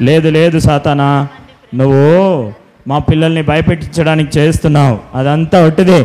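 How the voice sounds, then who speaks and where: A man's voice: on the high side, flat delivery, fairly quick, a little mellow. A man hosting a children's Bible school puppet show, speaking in an instructive, scolding way as he acts out the dialogue. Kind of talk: scripted puppet show dialogue with simple preaching.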